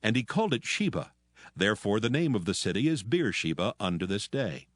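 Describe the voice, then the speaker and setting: A man narrating scripture from a script in a formal, measured manner. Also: on the low side, big pitch swings, dry recording. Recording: music behind. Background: music.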